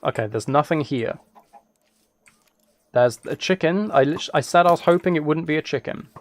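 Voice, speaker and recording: A man's voice: fairly high pitch, animated, moderate pace. A man live streaming a game with casual, rambling, self-amused commentary, chatting as he plays indoors at a computer. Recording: clear background noise, keyboard and mouse clicks.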